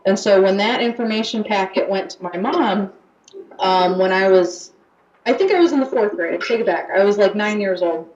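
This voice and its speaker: A woman's voice: medium pitch, normal speed, a little mellow. Chatty young woman, roomy muffled sound. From United States. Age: young adult. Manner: chatty, with fillers and restarts. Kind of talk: casual radio talk. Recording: roomy, muffled radio recording.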